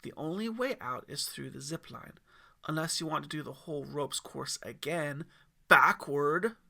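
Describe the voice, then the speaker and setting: A man's voice: on the high side, unhurried, creaky, pushed and bright, heavily acted. A man voicing a supportive father coaching his young son, firm and encouraging, in an audiobook. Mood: reassuring.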